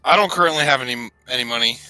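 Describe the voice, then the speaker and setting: A man's voice: mid-range voice, rapid-fire, pushed and bright, faint music behind, poor audio. A man chatting casually as a player in a tabletop roleplaying game.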